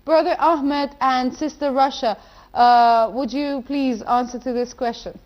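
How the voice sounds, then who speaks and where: A woman's voice: high voice, fairly even intonation, a little slow, dull audio. A woman hosting a studio discussion who addresses guests politely and formally. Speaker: host.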